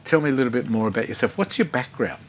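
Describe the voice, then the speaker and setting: A man's voice: medium pitch, animated, rapid-fire. A man hosting a casual interview show, chatty and easygoing, sometimes stumbling over names. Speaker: talk show host.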